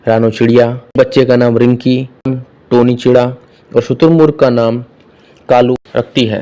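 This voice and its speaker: A male narrator with a soft, dark timbre and a middling pitch. He reads a children's tale as scripted storytelling. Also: level tone, moderate pace.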